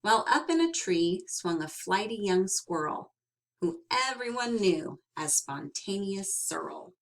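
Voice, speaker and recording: A woman reading a children's picture book aloud as an expressive storyteller, with dramatic emphasis and drawn-out words. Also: mid-range voice, a little hiss or hum, rough recording.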